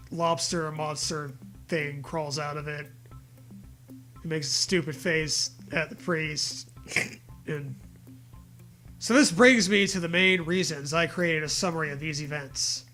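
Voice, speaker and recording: A man's voice: fairly high pitch, slow pace, pauses often, sharp tone, soft background music. A man reading a story aloud in a comedic way, with mocking, profane casual riffing.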